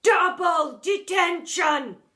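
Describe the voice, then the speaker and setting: A woman voicing a booming, bellowing old deputy headmistress who has gone wild. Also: expressive, drawn-out words, bright, forceful tone, full character voice. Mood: ranting.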